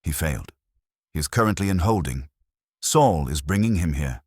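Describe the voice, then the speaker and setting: A man plays a commanding private detective of cool logic in an audiobook mystery. He speaks formally and deliberately, with pompous precision, in a very deep, husky voice. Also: animated, no echo, studio quality. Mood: grave.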